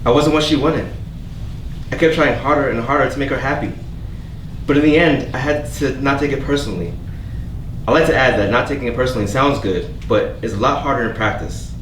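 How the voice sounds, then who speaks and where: A kind, quietly wounded optimist acted by a man in a staged play reading, sincere and a little halting in a medium-pitched voice. He is heard from across a reverberant room with faint background noise. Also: natural voice. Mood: earnest, reassuring. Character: gentle, earnest, hopeful stranger named Avery, quietly wounded. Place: theatre staged reading, recorded from a distance. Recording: echoey room, distant mic, background noise.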